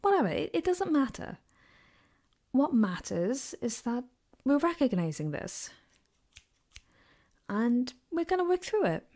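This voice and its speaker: Woman acting a slow, soft ASMR girlfriend. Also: sits high, sing-song, exaggerated voice. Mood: tender, reassuring. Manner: soft-spoken, hesitant, rambling. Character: gentle caring girlfriend, comforting her partner. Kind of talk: ASMR roleplay, soft and partly whispered. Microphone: close binaural microphone.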